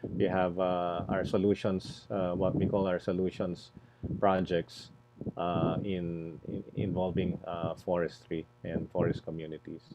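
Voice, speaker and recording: A man speaking as an NGO panelist, deliberate and halting, often repeating words as he searches for phrasing. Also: sits low, level tone. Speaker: environmental NGO executive, panel presenter. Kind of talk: spontaneous panel presentation. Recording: muffled, noisy online webinar audio.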